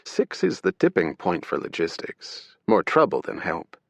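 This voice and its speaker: A man's voice: low voice, wildly swooping pitch, a little slow, creaky, fairly bright tone, dry recording, heavily acted. A man voicing a sardonic male federal agent who jokes while talking shop. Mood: businesslike. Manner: sardonic, joking. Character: wisecracking male federal agent, buzz cut.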